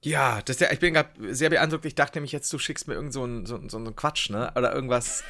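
A young man co-hosting a casual podcast, playful and excitable, often restarting his sentences. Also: mid-range voice, quick pace. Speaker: podcast co-host. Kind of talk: casual chat.

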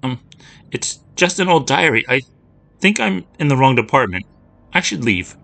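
A man's voice: medium pitch, very animated, moderate pace, fairly bright tone, pitched above the performer's own voice. A man voicing a timid, frightened young girl who stammers and hesitates. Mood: nervous.

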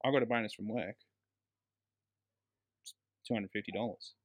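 A man's voice: fairly low pitch, steady tone, normal speed. An Australian man co-hosting a casual film podcast, talking in a blokey, emphatic way with some swearing. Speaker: podcast co-host.

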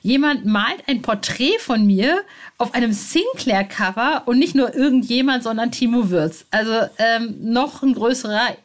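A woman in her forties hosting a fan podcast, informal and excitable, speaking directly to listeners. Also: on the high side, measured pace. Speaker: podcast host. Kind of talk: chatty fan podcast presenting.

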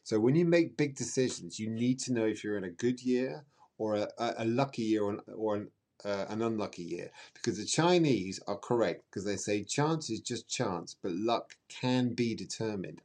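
A man's voice: fairly low pitch, a little mellow. A man speaking as an astrologer guest in an interview, explaining emphatically in a rambling way and repeating words for emphasis.

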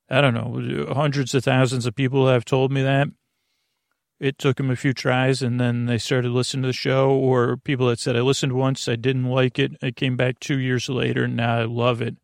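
A man's voice: medium pitch, dry recording, studio quality. A man hosting a sleep podcast, talking in a meandering, earnest way that keeps correcting itself. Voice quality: creaky. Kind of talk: rambling bedtime podcast talk.

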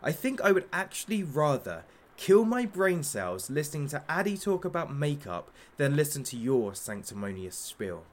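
A man's voice: fairly high pitch, very animated, normal speed, few pauses. An actor playing a masked hostage-taker who runs a talk show, gleefully cruel, sarcastic and showman-like, in an audio drama. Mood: scornful.

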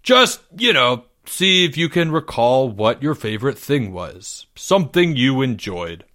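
A man voicing a patient, weary male schoolteacher who gently prompts his pupils in a story. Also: mid-range voice, very animated, a little slow. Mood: gently encouraging.

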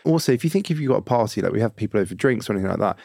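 A man's voice: on the low side, expressive, very fast, gravelly, dark tone, no echo, studio quality. A British man co-hosting a podcast in casual, bantering chat. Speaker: podcast co-host.

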